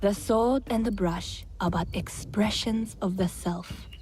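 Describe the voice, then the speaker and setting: A woman's voice: medium pitch, takes it slowly, a little mellow, natural voice. An actress playing a gracious, composed noble lady who teaches, speaking formally and with measure in a video game cutscene. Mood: solemn, instructive. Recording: faint game music behind.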